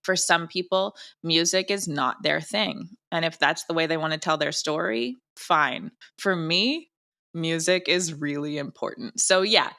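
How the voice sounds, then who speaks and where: A woman's voice: deep voice, unhurried, creaky, bright, forceful tone. A woman chatting casually as a guest in an interview about creative work.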